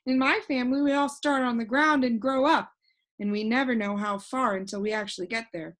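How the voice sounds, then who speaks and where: Polite, curious storybook boy, read by a woman, serious in manner, with a high, bright voice. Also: steady tone, brisk, lightly acted. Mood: earnest, curious. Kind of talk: children's storybook reading.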